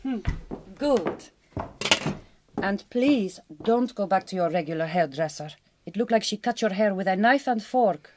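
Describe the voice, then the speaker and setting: An actress playing a direct, kind but quietly wounded Hungarian migrant farm worker in a radio drama, with a Hungarian accent and careful, reassuring speech. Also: female voice, mid-range voice, lively intonation, unhurried, close to an everyday voice. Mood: frank, kind.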